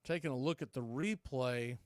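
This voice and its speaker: A man's voice: medium pitch, moderate pace, no echo, studio quality. A man announcing baseball in a play-by-play commentary style.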